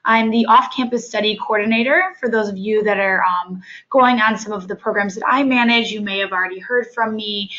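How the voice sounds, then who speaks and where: A hoarse woman coordinator walks trainees through a webinar, her tone bright and forward in spite of the sickness. She talks haltingly, with plenty of fillers between phrases. The sound is dull and lo-fi, like a computer mic on a call. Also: mid-range voice. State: hoarse from illness. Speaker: off-campus study coordinator.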